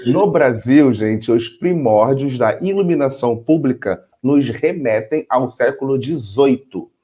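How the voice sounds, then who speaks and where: A man's voice: medium pitch, lively intonation, unhurried, mellow, husky. A man co-hosting a casual educational chat, teasing his partner and laughing often. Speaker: co-host.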